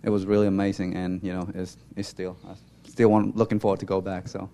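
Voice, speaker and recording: A young man from Cambodia giving an informal, halting church testimony as a mission volunteer, often searching for words. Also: deep voice, little pitch movement, gravelly, dark tone.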